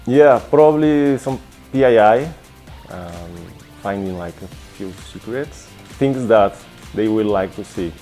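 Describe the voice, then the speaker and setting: Guy, warm dark voice, short broken phrases, soft music behind. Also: on the low side, expressive, a little slow. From Spain. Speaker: bug bounty hunter. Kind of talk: casual interview answers. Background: faint music.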